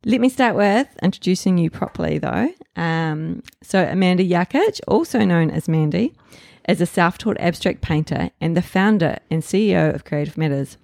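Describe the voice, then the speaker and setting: A New woman interviews a friend in a very low voice with a gravelly edge. She is warm but hesitant and often pauses on ums. The sound is dry and close. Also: animated, dry recording. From New Zealand. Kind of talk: casual interview.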